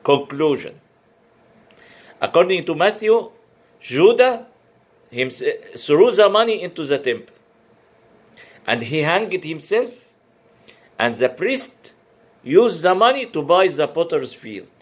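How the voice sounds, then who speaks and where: Slow, halting man, Arabic native. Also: a little high, very animated. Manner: halting, many uh fillers and restarts; careful non-native English. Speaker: religious lecturer. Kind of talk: comparative religion talk.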